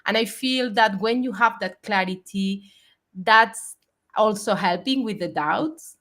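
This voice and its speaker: A woman's voice: medium pitch, unhurried, a bit bright. A woman in her forties hosting a live video chat, speaking in a reflective, earnest way with frequent fillers. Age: 40s. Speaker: host.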